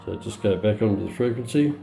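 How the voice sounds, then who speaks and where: A middle-aged man giving an unscripted product demonstration in a halting, matter-of-fact way. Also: on the low side, gravelly, dark tone, roomy sound, faint music behind. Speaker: presenter of a radio review. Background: shortwave radio broadcast playing.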